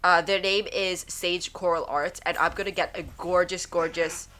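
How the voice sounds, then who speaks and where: A woman's voice: lowish voice, steady tone, moderate pace, pushed and bright, slight background noise. A woman hosting her own podcast from home, chatting casually and rambling, with a stuffy, nasal voice. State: stuffy nose. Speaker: podcast host. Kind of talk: casual chat.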